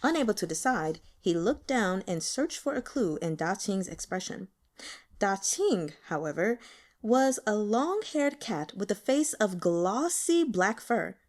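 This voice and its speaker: Expressive woman reading fiction aloud. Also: medium pitch, unhurried. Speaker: audiobook reader. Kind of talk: expressive scripted fiction narration.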